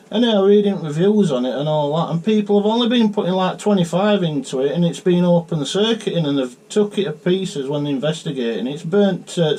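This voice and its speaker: A Northern English man chats casually about his hobby over the radio. His voice is very mellow and dark though slightly high in pitch, with faint noise behind it. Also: rough recording.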